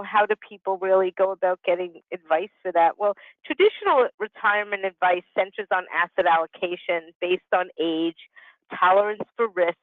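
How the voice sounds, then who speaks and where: A woman's voice: mid-range voice, no room sound, narrow, tinny audio. A woman in her sixties giving an explanatory, partly conversational presentation over a telephone conference line. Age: 60s. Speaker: business consultant and presenter. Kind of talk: prepared presentation with conversational asides.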